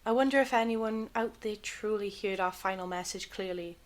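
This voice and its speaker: A woman's voice: mid-range voice, normal speed, natural voice. An actress plays a woman from a 1930s television studio in an audio drama. She sounds wistful and uncertain, speaking pensively in a mid-range voice.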